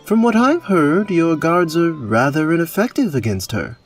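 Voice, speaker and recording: A man playing a self-assured fantasy prince. His speech is formal and regal, with very lively swings of pitch, over soft background music in a radio-play style. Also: moderate pace. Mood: proud, firm. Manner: formal, courtly phrasing without casual slang. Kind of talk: audio drama fantasy acting.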